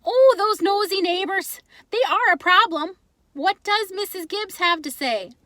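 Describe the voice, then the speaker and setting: A woman's voice: very high voice, lively intonation, a little slow, sharp tone, no room sound, studio quality, pitched above the performer's own voice, exaggerated voice. A woman voicing a kindly, cheerful immigrant mother who exclaims emphatically in a children's storybook. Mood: amused.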